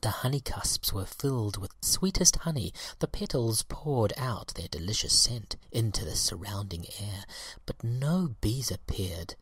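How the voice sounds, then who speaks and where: Male reader for children's story, husky and breathy, measured expressive narration, close dry recording. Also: fairly low pitch, no room sound.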